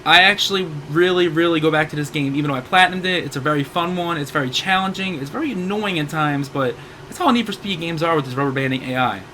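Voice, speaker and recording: Hoarse man in his 30s, gaming host, rambling upbeat sale rundown, a bit high and bright. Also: fast talker, a little hiss or hum. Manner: rambling, self-correcting, upbeat. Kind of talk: casual game sale rundown.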